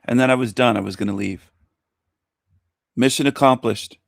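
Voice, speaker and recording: A man's voice: medium pitch, normal speed, gravelly, dry recording, clean studio sound. A man on a casual livestream, teasing the host in a mock rant.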